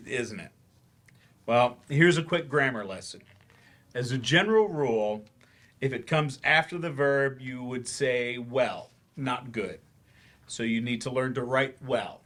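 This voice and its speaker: Older male teacher, played by a man, patient and encouraging, mid-pitched, explains gently, stage-play reading. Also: animated, slow pace, pauses often. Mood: earnest, supportive.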